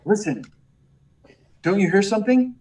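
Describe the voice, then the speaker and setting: A very high male voice from an actor as a meek young reporter in an old radio serial. He is earnest, polite and prone to stammer, heard a little away from the mic. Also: expressive, moderate pace, a little mellow. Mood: urgent, earnest. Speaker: actor in a live radio play. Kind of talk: 1940s radio serial acting. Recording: distant, far from the mic.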